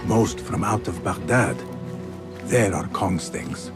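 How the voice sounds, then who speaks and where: An actor playing an earnest, driven young thief turned assassin in a video game, speaking in a measured, determined way. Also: male voice, low voice, music in the background. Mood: musing, focused. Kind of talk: video game cutscene acting.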